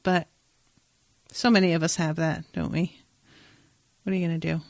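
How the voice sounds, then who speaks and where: A woman's voice: low-pitched, level tone, normal speed, gravelly, a little mellow, dry recording. A woman hosting a podcast, talking casually and informally with playful, opinionated asides. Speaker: podcast host. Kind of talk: casual chatty podcast discussion.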